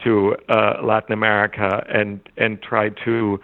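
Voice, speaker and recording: A man whose first language is German, a literature scholar giving thoughtful, halting interview answers with frequent restarts. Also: fairly low pitch, monotone, phone-quality sound. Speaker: literature scholar and anthology editor.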